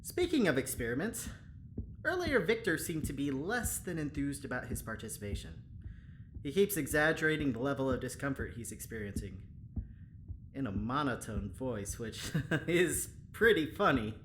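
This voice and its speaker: An actor playing a talkative, well-meaning prison guard in an audio drama, chatty and coaxing in manner. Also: male voice, medium pitch, sing-song, normal speed, a bit bright. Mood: musing. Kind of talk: audio drama acting, spoken log. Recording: clearly noisy, ambient background.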